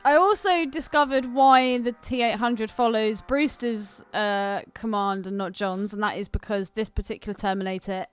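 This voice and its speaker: A woman's voice: fairly high pitch, steady tone, moderate pace, hardly any pauses, clear, resonant tone, soft background music. A British woman co-hosting a podcast in casual film banter, cheeky, blunt and sweary. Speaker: podcast co-host. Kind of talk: casual banter about films.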